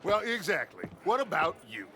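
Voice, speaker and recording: An actor playing a charismatic middle-aged American outlaw gang leader in a video game, grandiose, mocking and commanding. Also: male voice, sits high, sing-song, moderate pace, bright, forceful tone, slight background noise, heavily acted. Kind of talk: dramatic video game acting.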